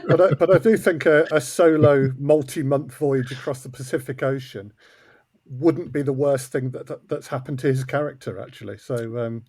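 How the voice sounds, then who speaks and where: A man from Seattle chatting as a podcast guest in a deadpan, hesitant way. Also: mid-range voice, moderate pace. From Seattle, United States. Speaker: audio drama co-creator, podcast guest. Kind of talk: casual podcast chat.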